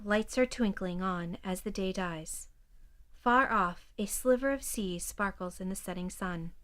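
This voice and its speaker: Female narrator, mid-range pitch, scripted scene description, detached, measured delivery. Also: fairly bright tone. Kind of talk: scripted audio drama narration in present tense.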